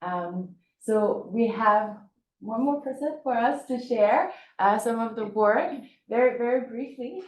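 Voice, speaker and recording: A woman speaking informally as the host of an event, addressing an audience in a halting way with repeated words. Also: fairly high pitch, expressive. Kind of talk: informal hosting remarks to an audience. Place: indoor event space. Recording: echoey room with faint noise.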